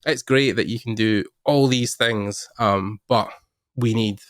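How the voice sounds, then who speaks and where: A man's voice: lowish voice, unhurried, clear, resonant tone, no room sound, studio quality. A man hosting a podcast interview in a casual, conversational way.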